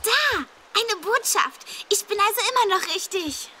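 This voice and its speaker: A woman's voice: very high voice, expressive, unhurried, sharp tone, slight background noise, full character voice. A woman playing a cheerful, confident young girl in a children's audio drama. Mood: curious, musing.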